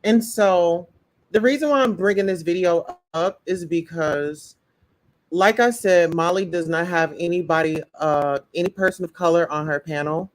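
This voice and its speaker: A woman's voice: on the low side, normal speed. A woman hosting a live-stream commentary show, outspoken and talking straight to her chat viewers. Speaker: livestream commentary host.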